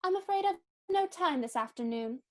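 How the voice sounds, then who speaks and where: A woman's voice: extremely high pitch, lively intonation, normal speed, a little mellow. A woman playing a prim, innocent and frank young society girl in a dramatic reading of a stage comedy. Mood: primly teasing.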